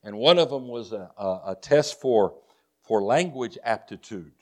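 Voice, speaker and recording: A man's voice: medium pitch, lively intonation, measured pace. An older man with a Texas drawl preaches to a congregation, weaving folksy personal stories into his sermon. He talks earnestly, often restarting and repeating words as he goes. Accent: Texan. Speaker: missionary preacher.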